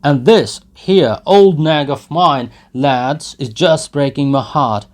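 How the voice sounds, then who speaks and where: A drunken young peasant roars and brags, his voice pushed high and hard, as a man reads him out. He sounds boastful and loud, a storybook brute bellowing at his mates. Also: slow pace. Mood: boastful shouting.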